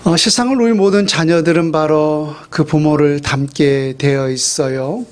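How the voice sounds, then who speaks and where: A middle-aged man, a pastor, preaching a sermon in a conversational way, with fillers and slow, stressed phrases. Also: on the high side, steady tone, darkish tone.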